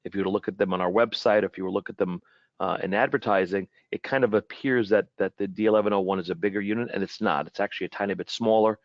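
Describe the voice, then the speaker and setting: A man giving an informal webinar product presentation in a halting way, often repeating and rephrasing his words. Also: fairly low pitch, steady tone, no echo, muffled recording.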